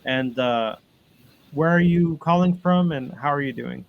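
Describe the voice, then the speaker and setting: A man with a fairly high voice moderates an online panel. He reads the guests' bios over a video call and often pauses on "um" and "uh". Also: gravelly, dull audio. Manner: reads bios with frequent ums and uhs. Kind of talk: webinar introductions.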